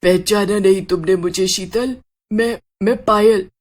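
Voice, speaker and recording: A woman voicing a solemn ghostly witch in a horror story, speaking in a slow, ominous way. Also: mid-range voice, steady tone, darkish tone, close to an everyday voice. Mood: mysterious. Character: ghostly witch woman, eerie, solemn, helping from beyond death.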